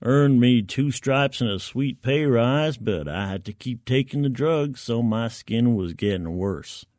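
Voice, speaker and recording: A male narrator performs a first-person military science fiction story. His voice is medium in pitch, with a colloquial, wry and gritty soldier's delivery. The recording is very dry, with no room sound. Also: animated, unhurried, darkish tone, no echo.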